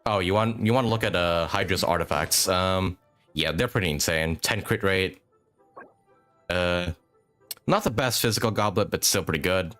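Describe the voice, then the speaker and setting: A man giving casual, halting and rambling live commentary while playing a video game. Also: low-pitched, fairly bright tone. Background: video game music.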